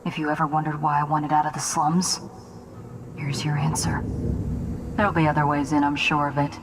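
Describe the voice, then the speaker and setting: An actress playing a cocky young assassin lieutenant who talks in a brisk, matter-of-fact way. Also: female voice, sits low, little pitch movement, background noise, natural voice.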